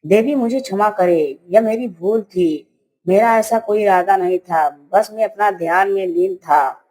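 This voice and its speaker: A gentle holy hermit voiced by a woman in a children's cartoon, with a mid-pitched voice. The sage speaks solemnly and devoutly, as one burdened by guilt. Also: measured pace, lightly acted, poor audio. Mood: remorseful. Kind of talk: children's cartoon story acting.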